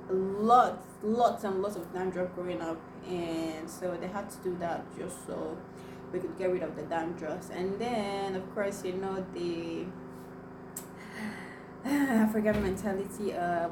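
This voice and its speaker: A young woman whose first language is Igbo, chatting to viewers as she tells a personal story with many fillers. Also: on the low side. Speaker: vlogger. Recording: echoey room, mic at a distance, noisy.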